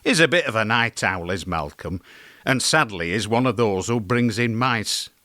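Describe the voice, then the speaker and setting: British male cat owner played by an actor, chatty and wry, very lively intonation, close dry sound, comic radio monologue. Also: fairly low pitch, normal speed, no echo. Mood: wry, fond. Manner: wry, conversational storytelling with emphatic swoops. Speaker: actor performing a comedy monologue.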